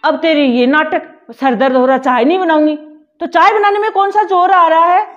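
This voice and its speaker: A woman's voice: fairly high pitch, brisk, darkish tone, soft background music, close to an everyday voice. A woman playing a domineering, partial mother-in-law in a family skit, scolding sharply and turning remorseful. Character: domineering middle-aged mother-in-law, partial to one daughter-in-law. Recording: dull lo-fi recording.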